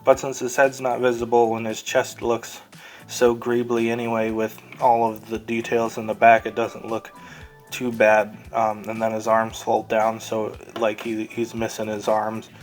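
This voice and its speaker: A man's voice: fairly low pitch, monotone, unhurried, soft background music. A man hosting a casual, unscripted toy review, talking in a rambling, easygoing way as he handles the item. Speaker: toy reviewer and host of the show.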